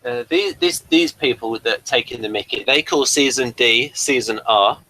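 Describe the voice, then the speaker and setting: A man's voice: medium pitch, moderate pace, sharp tone, no room sound, rough recording. A man hosting a casual review chat, rambling and thinking aloud as he goes. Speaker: podcast review host.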